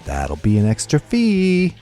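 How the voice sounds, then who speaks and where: An actor playing a gruff, scolding, threatening video store clerk in an audio drama. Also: male voice, a little high, wildly swooping pitch, measured pace, warm tone, faint music behind. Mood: annoyed, scolding.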